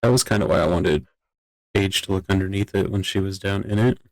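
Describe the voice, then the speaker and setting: A man's voice: deep voice, fairly even intonation, fairly quick, dry recording, low-quality recording. A man chatting casually as a player in an online game roleplay. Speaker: roleplay gamer.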